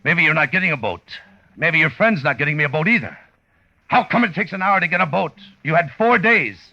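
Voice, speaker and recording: A man's voice: a little high, animated, fairly quick, vocal fry, sharp tone, no echo, heavily acted. An actor playing a cocky, suspicious American hitman on the run, in clipped, sardonic hard-boiled radio crime drama style. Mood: agitated, suspicious. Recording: muffled old radio recording with music.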